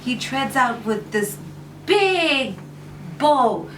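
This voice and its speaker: A woman's voice: high-pitched, big pitch swings, very slow, fairly bright tone, distant mic, slight background noise. A woman narrating a bedtime story for small children, repeating phrases for effect.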